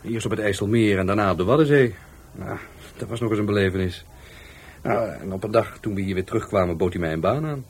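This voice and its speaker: An actor with a Netherlands Dutch accent playing a touchy, defiant law-school dropout in a radio play, by turns hesitant and scornful. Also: male voice, lowish voice, fast talker, slight background noise, lightly acted. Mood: hesitant, explaining. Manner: hesitant, then scornful and argumentative. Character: defiant, touchy young male law-school dropout, murder victim's helper.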